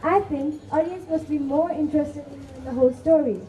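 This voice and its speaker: A woman acting a curious, excitable onlooker in the prologue of an amateur stage play. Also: high voice, moderate pace, natural voice, low-quality recording. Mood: eager curiosity.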